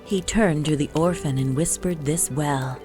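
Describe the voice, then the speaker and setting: A woman storyteller reading a rhyming Christmas poem in a measured, lilting delivery. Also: sits low. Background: music.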